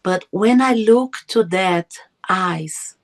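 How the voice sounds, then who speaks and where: A woman's voice: medium pitch, slow pace. A middle-aged woman whose first language is Portuguese, telling a personal story as a podcast guest, earnest and often searching for her words. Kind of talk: personal testimony.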